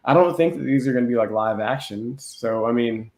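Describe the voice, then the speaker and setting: A man co-hosting a podcast, chatting casually about films in a slangy, animated storytelling way. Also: medium pitch, fairly quick. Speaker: podcast co-host. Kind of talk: casual film review chat. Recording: roomy echo on the voice.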